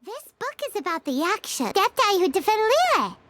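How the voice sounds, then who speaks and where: A woman voicing a tiny, childlike fairy companion in a video game, squeaky, inquisitive and excitable. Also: fairly quick, no echo, exaggerated voice. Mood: thoughtful, animated. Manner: excitable, inquisitive, refers to self in third person. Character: tiny floating fairy companion girl, chirpy, curious, childlike. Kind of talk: video game character acting.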